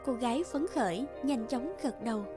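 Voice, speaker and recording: A woman's voice: fairly high pitch, moderate pace. A woman narrator reading a scripted fairy tale in a storytelling style. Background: music.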